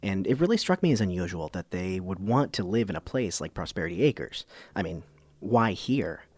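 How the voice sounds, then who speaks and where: An actor playing an earnest, somewhat puzzled public radio host, narrating and interviewing in an audio comedy. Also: male voice, low voice, expressive, moderate pace, slightly rough, no echo. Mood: measured, wry.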